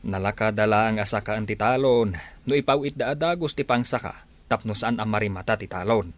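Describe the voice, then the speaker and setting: A man's voice: lowish voice, a little fast, no room sound, lightly acted. A man acting an obliging village farmer and father in a radio drama, with an Ilocano Filipino accent. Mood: worried, agreeing. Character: obliging village husband and father, farm owner.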